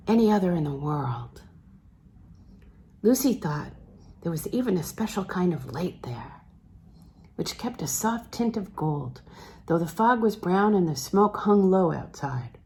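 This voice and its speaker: A woman narrating literary fiction aloud as an audiobook reader, measured and expressive, letting phrase endings fall away softly. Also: on the low side, a little hiss or hum. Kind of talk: scripted literary narration, reading a novel aloud.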